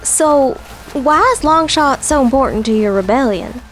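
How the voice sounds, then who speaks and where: A woman's voice: high-pitched, expressive, moderate pace, a little hiss or hum. A woman playing a sassy young Southern heroine in an audio drama, speaking wryly and teasingly.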